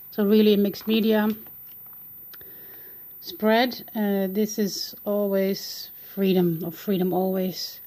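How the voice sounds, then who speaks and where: Dutch-accented woman, casual narrator, unhurried and tentative. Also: medium pitch, flat delivery, warm tone. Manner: hesitant, searching for words. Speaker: art journaling creator. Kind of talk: casual flip-through narration.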